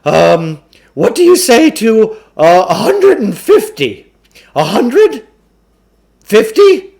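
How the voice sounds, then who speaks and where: A man's voice: sits high, wildly swooping pitch, slow, gravelly, a little mellow, pitched above the performer's own voice, heavily acted. A man voicing a pompous, wheedling huckster salesman from a storybook, with theatrical sales patter. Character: blustering, wheedling huckster salesman peddling mind-control gadgets.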